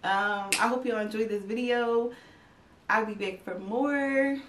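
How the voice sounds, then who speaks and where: A woman's voice: a little high, measured pace. A young woman talks straight to her viewers in a loose, rambling way, full of fillers. Her voice sounds a little stuffy and congested. She is recorded in an echoey room, some distance from the mic, with faint hiss underneath. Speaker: YouTube vlogger. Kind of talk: casual chat to camera.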